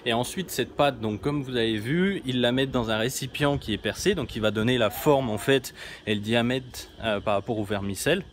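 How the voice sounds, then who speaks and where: A man's voice: mid-range voice, a little fast. A man in his thirties, filming himself with a phone at arm's length outside a small village workshop, explaining things casually with lots of fillers and restarts. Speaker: travel vlogger. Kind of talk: casual explanatory vlog narration. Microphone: phone camera at arm's length. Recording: noisy outdoor phone recording.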